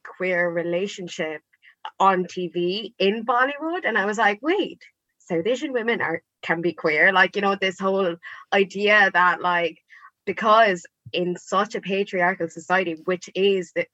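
A young woman chats on a live podcast, her voice a little low and bright-edged. She fills her talk with ums and likes and laughs easily. The sound is dry and a bit dull, like a lo-fi mic. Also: no echo. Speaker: podcast guest. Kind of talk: casual live podcast chat.

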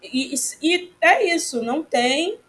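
A woman, giving a reflective interview answer and often pausing and repeating words as she thinks. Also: sits high, lively intonation, fairly bright tone. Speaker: community church member.

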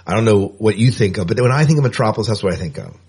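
A male podcast co-host with a lowish, husky voice and animated, swinging intonation. He chats casually and likes to repeat a phrase to drive it home. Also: very fast. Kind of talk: casual chat.

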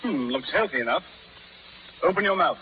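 Actor as a haughty, barking high-voiced colonel. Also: male voice, expressive, moderate pace, slight background noise, close to an everyday voice. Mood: imperious, contemptuous. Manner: barking, imperious orders. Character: harsh, haughty, contemptuous male plantation colonel. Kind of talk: 1930s radio drama acting. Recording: muffled old radio recording, hall sound.

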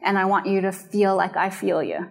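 Woman lecturing on counseling, earnest and measured, mid pitch, explains things step by step. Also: steady tone, creaky. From Japanese and American background. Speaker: biblical counseling teacher. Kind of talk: recorded lecture.

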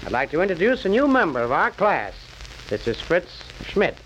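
An actor playing a calm, firm schoolteacher, measured and authoritative, in 1940s radio acting style. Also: male voice, sits high. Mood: kindly firm. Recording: muffled, crackly 1940s radio recording.

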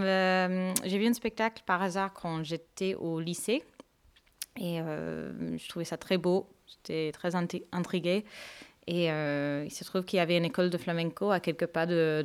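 Canadian woman on a radio show, clear resonant voice, hesitant and gentle. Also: fairly low pitch, fairly even intonation, normal speed. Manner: soft-spoken, many hesitations, light laughs. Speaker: flamenco dancer, guest. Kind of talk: radio interview answers.

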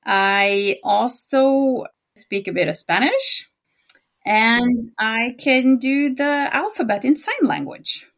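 Norwegian woman, norwegian accent, giggly, chatty, higher voice, unhurried phrasing. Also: expressive, gravelly. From Norway. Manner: chatty and giggly, talks fast. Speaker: podcast co-host. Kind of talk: casual chat.

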